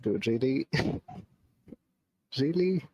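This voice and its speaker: A man's voice: medium pitch, animated, drawn-out words, a little mellow, husky, dull audio. A young man from India, a philosophy student who challenges and repeats his questions, mocking at times. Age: young adult. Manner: repetitive, challenging, mocking with laughs. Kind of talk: casual debate on video chat.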